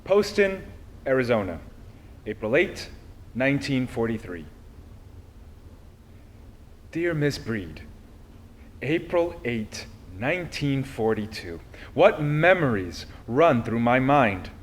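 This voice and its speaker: A man reading a personal letter aloud expressively, with deliberate phrasing and pauses. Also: mid-range voice, sing-song. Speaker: reader of a historical letter.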